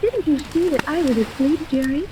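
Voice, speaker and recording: A distant, noisy vintage radio recording carries an anxious captive girl. Her high voice is earnest and cautioning, with careful formal phrasing. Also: lively intonation, normal speed. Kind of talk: 1930s radio serial acting.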